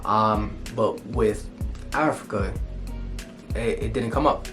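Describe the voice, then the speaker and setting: A man's voice: on the low side, slow pace. A man from Puerto Rico hosting a casual conversation, talking in a loose, thoughtful way with many fillers. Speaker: channel host. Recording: guitar music playing underneath.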